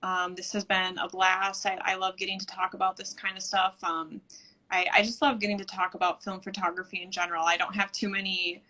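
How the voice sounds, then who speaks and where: Bright-voiced, chatty woman guest. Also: medium pitch, level tone, brisk, rough recording. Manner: eager, self-deprecating, chatty. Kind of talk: casual chat on a live stream. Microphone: video call.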